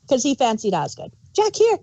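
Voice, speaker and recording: A woman co-hosting a casual review podcast, speaking in a halting way and often restarting her sentences. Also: sits high, warm tone, husky, no room sound. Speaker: podcast co-host reviewing audio dramas. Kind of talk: casual unscripted chat. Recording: dull, dry podcast microphone.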